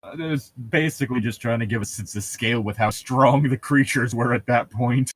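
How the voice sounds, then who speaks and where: A man's voice: mid-range voice, fairly quick, dry recording. A man co-hosting a casual book-reading podcast, chatting in a rambling way and reading prose aloud, with casual swearing. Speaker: podcast co-host reading a novel aloud with commentary.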